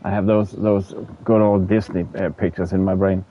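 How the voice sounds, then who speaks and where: Halting Danish-accented man streaming a game. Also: low-pitched, little pitch movement, dark tone, dull audio, slight background noise. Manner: halting, repeats words while searching for English terms, swears in frustration. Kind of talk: casual livestream chat with viewers.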